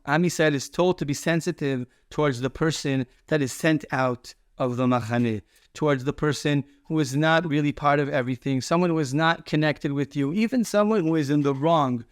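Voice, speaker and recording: A man's voice: a little high, clean tone, no echo, studio quality. A man speaking as a rabbi, giving a short explanatory Torah teaching with emphatic repetition.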